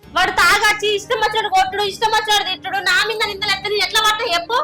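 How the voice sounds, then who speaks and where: A woman playing a defiant young wife, high-voiced. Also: fairly even intonation, racing, over loud music. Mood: bitter. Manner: defiant, complaining. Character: young wife defying her abusive husband. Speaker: daughter.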